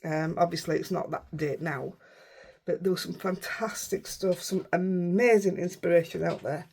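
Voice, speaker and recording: A middle-aged woman with a Yorkshire accent, hoarse and unwell, chatting through a casual crafting tutorial in a croaky voice and rambling as she goes. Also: on the low side, fairly even intonation, moderate pace, a little mellow. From Yorkshire, England. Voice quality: croaky, rough voice. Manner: chatty, rambling, self-interrupting. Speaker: craft channel host.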